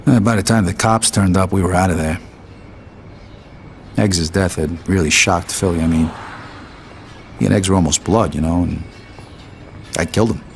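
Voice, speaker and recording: An actor playing a world-weary, blunt American mobster in a gritty crime game. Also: male voice, deep voice, normal speed, pauses often, slight background noise. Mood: weary, reflective. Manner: tough, blunt, streetwise. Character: world-weary, hard-bitten male mobster, loyal to family. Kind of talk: gritty crime drama narration and dialogue.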